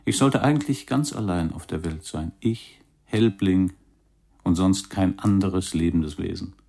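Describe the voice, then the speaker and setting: Radio play lead: tired, tipsy young cornettist, lowish register, confiding monologue that wanders and breaks off. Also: male voice, unhurried, gravelly, mellow. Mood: bleak. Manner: rambling, confiding, broken phrases with muttered brr sounds. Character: weary, boozy, disillusioned young male jazz cornettist.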